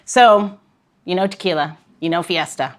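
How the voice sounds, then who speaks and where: A woman's voice: lowish voice, normal speed. Playful woman hosting a casual chat. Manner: bantering, playful. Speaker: podcast host.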